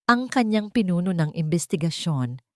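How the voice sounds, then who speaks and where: A woman's voice: on the low side, expressive, moderate pace, no echo, clean studio sound. A woman reading a dramatic scripted story as an audiobook narrator.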